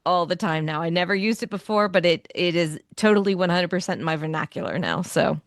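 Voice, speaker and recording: A woman's voice: fairly low pitch, brisk, dry recording, studio quality. A woman from a drama cast telling anecdotes in casual chat. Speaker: cast member of an audio drama.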